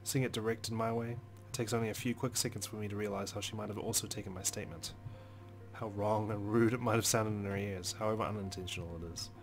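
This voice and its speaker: A man reading first-person game narration aloud as a let's play host, expressive, with dramatic, deliberate phrasing. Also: lowish voice. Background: music.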